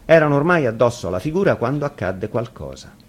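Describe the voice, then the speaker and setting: A man's voice: medium pitch, big pitch swings, quick pace, husky. A man narrating a scripted fairy tale dramatically, as a storyteller. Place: radio studio. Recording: faint background noise.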